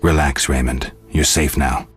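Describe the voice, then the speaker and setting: An actor playing a gruff, stoic monster hunter in a video game, terse and deadpan, with a gravelly voice. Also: male voice, a bass voice, unhurried, faint music behind, exaggerated voice. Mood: deadpan, guarded. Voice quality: gravelly, husky.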